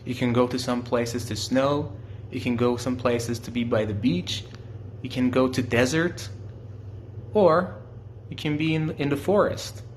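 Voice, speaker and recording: A man's voice: medium pitch, steady tone, measured pace, slight background noise. Friendly Iranian man explaining his culture. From Iran. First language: Farsi. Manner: friendly, asks the listener questions. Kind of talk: simple explanatory talk aimed at children.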